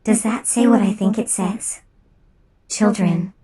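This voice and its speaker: A woman streamer chatting casually with a playful, deadpan, slangy manner. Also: medium pitch, takes it slowly, noisy background. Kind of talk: casual live stream chat.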